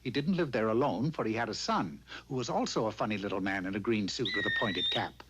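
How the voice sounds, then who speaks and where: A man giving wry, scripted fairy-tale narration in a slightly husky voice. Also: lowish voice, expressive, brisk, very noisy.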